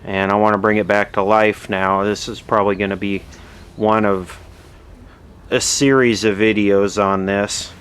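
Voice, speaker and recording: A man narrating a casual, unscripted hands-on repair demonstration in a matter-of-fact, halting way, as host of his own video. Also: on the low side, little pitch movement, fairly bright tone. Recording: clearly audible background noise.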